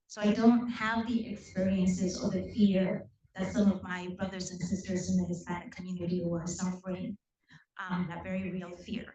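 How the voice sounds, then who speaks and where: A woman's voice: fairly low pitch, flat delivery, moderate pace, dark tone. Earnest woman in an echoey chamber. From Puerto Rico. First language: Spanish. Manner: earnest, personal, with frequent ums. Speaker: council member. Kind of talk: council meeting statement. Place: council chamber. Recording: echoey chamber, distant dull mic.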